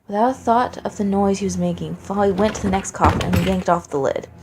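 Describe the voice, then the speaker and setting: A woman's voice: medium pitch, fairly quick, background noise. A woman narrating a dramatic story from a script as a fan fiction reader.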